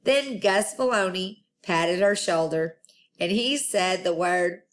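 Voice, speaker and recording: A woman storyteller reading a children's book aloud in an expressive style. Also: fairly low pitch, slow.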